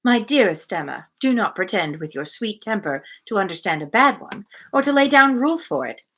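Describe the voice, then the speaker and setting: A gentle, anxious married lady from a classic novel, read aloud by a woman. The voice is bright, at a middle pitch. She reasons cautiously in careful, formal storybook sentences. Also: very animated, normal speed, slightly rough, heavily acted. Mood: gently advising.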